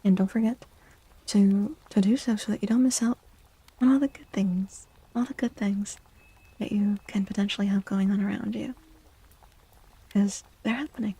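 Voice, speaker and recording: A woman streaming a soft-spoken ASMR tarot reading close to the mic, often slipping into a breathy whisper, with a playful, cutesy, affectionate manner. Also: mid-range voice, fairly even intonation, moderate pace, long pauses, low-quality recording. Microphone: close microphone.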